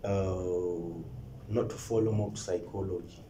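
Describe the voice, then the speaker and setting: A young man with a Zimbabwean accent, a musician guest giving calm, short answers in a studio interview. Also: deep voice, takes it slowly. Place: indoor TV studio. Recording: roomy studio with faint noise.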